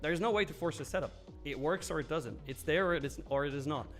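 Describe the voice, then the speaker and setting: A man's voice: on the high side, fast talker, faint music behind. A man hosting a live stream, chatting loosely and candidly as he answers viewers' comments, with frequent fillers and the odd curse. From Switzerland. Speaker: live trading stream host.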